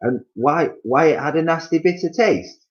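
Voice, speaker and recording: A man voicing a spiteful, screechy old wife from a children's storybook, exclaiming at high pitch in a slightly muffled recording. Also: normal speed, lightly acted. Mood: spiteful gleeful. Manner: screaming, gleeful, exclamatory.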